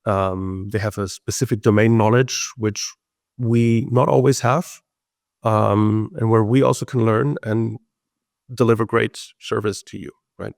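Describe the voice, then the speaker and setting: A man's voice: on the low side, steady tone, unhurried, creaky, darkish tone, no echo, studio quality. A man hosting an online webinar and introducing himself and his company, speaking with frequent ums and uhs. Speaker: webinar host, company co-founder. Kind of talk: hesitant presentation with many fillers.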